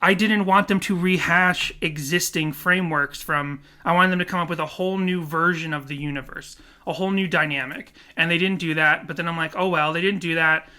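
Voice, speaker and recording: Male podcast co-host, bright, slightly high voice, animated rambler. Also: brisk, few pauses, clean tone. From Korea. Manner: animated, laughs often, rambling restarts. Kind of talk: casual chat.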